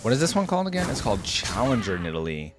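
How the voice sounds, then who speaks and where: A man's voice: medium pitch, sing-song, fast. A man giving casual reaction commentary as a video host, musing and thinking aloud. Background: game music and sound effects.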